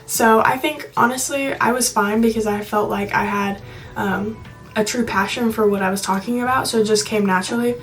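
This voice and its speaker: A woman's voice: mid-range voice, steady tone, normal speed, a bit bright, distant mic, faint music behind. A young woman talking in a chatty, reassuring, informal way, giving casual advice to viewers. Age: young adult. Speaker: video blogger.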